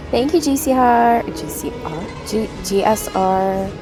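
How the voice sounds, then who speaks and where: A woman livestreaming a story game, reacting casually in short, excited interjections. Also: mid-range voice, slow. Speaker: streamer playing a story game. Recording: game music behind the voice.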